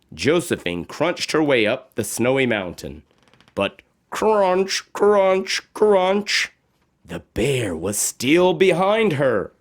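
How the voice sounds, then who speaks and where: Very animated, unhurried male storybook reader. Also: on the high side. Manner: dramatic, expressive with sound words. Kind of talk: animated read-aloud narration.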